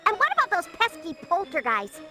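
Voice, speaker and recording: A woman's voice: very high voice, wildly swooping pitch, fairly quick, exaggerated voice. A woman voicing a sassy, pert little girl creature in a cartoon game. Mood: cheeky. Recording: loud music behind the voice.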